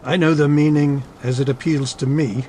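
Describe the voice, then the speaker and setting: A man playing a scheming army captain in a dramatic audiobook, speaking formally with smooth menace. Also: fairly high pitch, steady tone, moderate pace, dark tone, a little hiss or hum, lightly acted. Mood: smooth, menacing. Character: scheming, coercive male army captain, a suave villain. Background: ambience under the voice.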